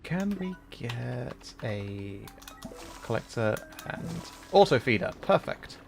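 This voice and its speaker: A man's voice: mid-range voice, big pitch swings, slow, vocal fry. A man hosting a livestream, chatting casually as a game is played, with hesitant, thoughtful, rambling phrasing. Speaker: livestream host, VTuber and audio voice performer. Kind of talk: casual livestream chat while playing a video game. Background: music behind the voice.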